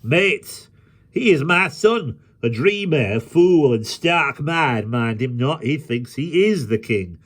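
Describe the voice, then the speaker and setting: A man voicing a scornful vagabond father in a storybook, making loud dismissive appeals. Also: fairly high pitch, expressive, slow, voice pushed up, heavily acted. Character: dismissive vagabond father mocking his son.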